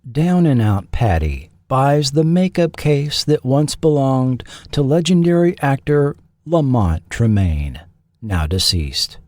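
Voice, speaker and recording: A man hosting a show, reading scripted TV history narration in a measured, deliberate way with pauses between phrases. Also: mid-range voice, animated, a little mellow, no room sound, clean studio sound. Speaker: podcast host and narrator.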